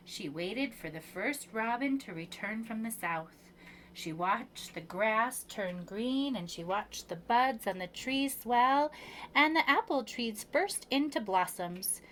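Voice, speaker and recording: A woman reading a children's picture book aloud with measured, expressive phrasing for young children, as a preschool storyteller. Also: fairly high pitch, slight background noise, low-quality recording.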